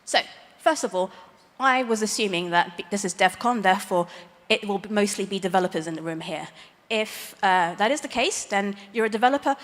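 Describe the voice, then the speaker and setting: A woman's voice: mid-range voice, lively intonation, fairly quick, a bit bright. Woman lawyer speaking in a reverberant hall. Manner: plain explaining with light self-aware humor. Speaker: lawyer giving a conference talk. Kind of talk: explanatory conference presentation. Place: large conference hall stage. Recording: long reverb of a big hall.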